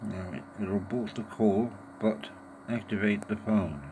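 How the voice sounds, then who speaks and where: A man giving a casual, halting hands-on demonstration, muttering to himself in an informal way. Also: lowish voice, fairly even intonation, a little mellow. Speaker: tech video maker. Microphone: handheld phone or camera held over the device. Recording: noisy amateur handheld camera recording.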